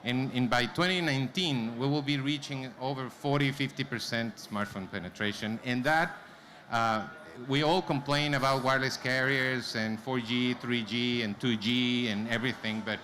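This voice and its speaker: A man presents at a conference in an informal way, addressing the audience directly. His voice is bright and forceful, at a middling pitch. Faint noise from the event space sits behind him. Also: normal speed. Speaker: conference presenter, company vice president. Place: conference stage before an audience. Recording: clear background noise, live event room.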